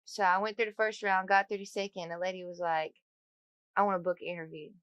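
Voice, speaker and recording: A young woman singer with a deep voice, talking casually in an interview, chatty and relaxed with frequent ums and easy laughter. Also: fast talker, clear, resonant tone, dry recording, muffled recording.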